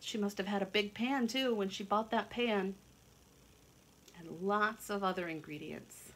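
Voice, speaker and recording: Expressive woman reading to children. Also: medium pitch, measured pace. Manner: expressive, simple teacherly phrasing with questions. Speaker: children's read-along teacher. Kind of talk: picture-book read-aloud with friendly explanations to children. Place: indoors at home, kitchen and a room.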